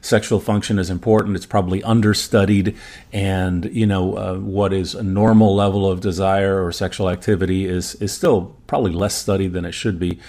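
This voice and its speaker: A man with a fairly low voice, a native German speaker, speaks as a medical expert on a podcast. He explains study findings informally, in a measured way, qualifying his points as he goes. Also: darkish tone. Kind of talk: informal evidence review in conversation.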